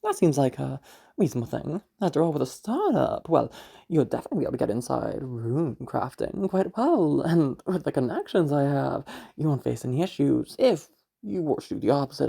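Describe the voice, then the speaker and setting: A woman acts out a domineering, sanctimonious mother in an audio drama. Her voice is dark and husky, full of gravel. She talks down to her listener with deliberate, menacing condescension. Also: rumbling low, big pitch swings, heavily acted. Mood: coolly threatening. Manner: condescending, slow deliberate taunting with hums. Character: controlling, sanctimonious, menacing mother of a grown daughter. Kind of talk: amateur audio roleplay drama.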